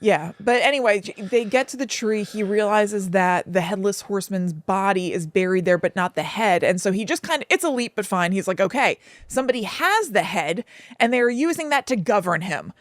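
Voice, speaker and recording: A woman co-hosting a podcast, casually retelling a film in an informal, expressive, hedging way. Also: mid-range voice, normal speed, no room sound. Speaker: podcast co-host.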